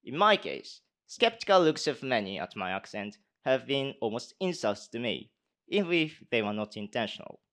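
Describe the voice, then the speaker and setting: A man's voice: medium pitch, very animated, moderate pace, fairly bright tone. A Japanese university student in his twenties with a posh British accent, giving a speech and then answering questions hesitantly in a conference hall. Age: 20s. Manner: hesitant with many fillers when answering.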